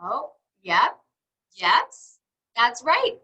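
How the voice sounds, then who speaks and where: Woman, children's read-aloud teacher, slow pace, bright voice, encouraging, interactive questions. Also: on the high side, husky, muffled recording. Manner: expressive, asking questions and pausing as if children answer.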